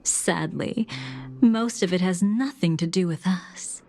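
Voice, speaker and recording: A woman voicing a sly, alluring woman of mystery in a video game, teasing and cool, with a languid, partly breathy delivery. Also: lowish voice, takes it slowly, mellow. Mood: enigmatic, alluring. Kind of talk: video game character dialogue.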